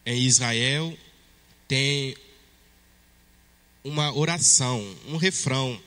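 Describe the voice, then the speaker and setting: A man from Togo with a French-speaking West African accent, a priest reading the Gospel and preaching at Mass in a measured way. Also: medium pitch, sharp tone. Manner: slow, measured liturgical delivery. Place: church. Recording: long church reverb.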